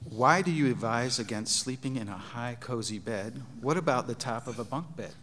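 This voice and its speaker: A man moderating, reading written audience questions aloud in a measured way. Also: mid-range voice, animated, fairly bright tone.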